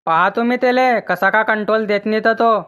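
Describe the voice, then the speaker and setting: A man's voice: high voice, a little fast, sharp tone, clear, resonant tone. A man voicing an argumentative, grumbling village husband in a comic cartoon, with a rural Maharashtrian accent. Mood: indignant, argumentative.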